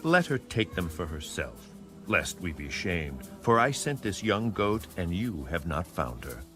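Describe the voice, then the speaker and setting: A man playing a stern old patriarch in a dramatized audio Bible, speaking gravely over music. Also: low voice, sing-song, unhurried. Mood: grave, resigned. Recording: music under the voice.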